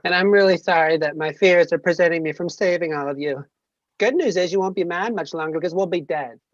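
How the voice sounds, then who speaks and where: A performer playing an anxious, excitable animal in an animated comedy table read, swinging from meek to frantic. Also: mid-range voice, fairly quick, natural voice. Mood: meek, sincere. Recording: roomy, slightly dull sound.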